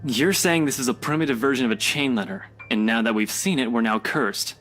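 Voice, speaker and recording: A man's voice: mid-range voice, a little fast, a bit bright, close to an everyday voice. An actor playing a skeptical, mocking young man in a visual novel, blunt and dismissive in his delivery. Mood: scornful. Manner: sarcastic, blunt. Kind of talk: dramatic visual novel voice acting. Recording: music under the dialogue.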